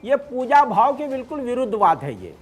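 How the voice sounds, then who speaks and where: A man with a strikingly high-pitched voice answers as a cleric in an interview. He explains at length, haltingly, looping through the same filler phrases as he builds each point. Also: very animated, slightly rough. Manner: halting, wordy, heavy repeated verbal fillers.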